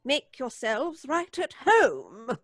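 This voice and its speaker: A woman's voice: high-pitched, very animated, slow pace, dry recording, clean studio sound, heavily acted. A woman playing a prickly, defensive, prim neighbor in an old-time radio suspense drama, with theatrical delivery. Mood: disdainful.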